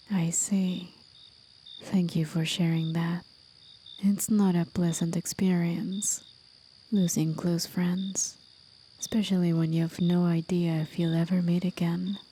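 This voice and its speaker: A gentle, teasing demon played by a woman tells an old tale in a soft whisper for an ASMR roleplay. The delivery is very slow and even, with a wistful, breathy hush. Faint cricket and insect ambience sits behind the voice. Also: lowish voice, a little hiss or hum. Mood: tender. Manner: slow, hushed, measured storyteller. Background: crickets and night insects.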